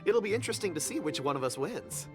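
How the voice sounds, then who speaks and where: A man's voice: high-pitched, sing-song, quick pace. A man voicing a cheerful, scatterbrained young man who rambles in an easygoing way. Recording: game dialogue over music.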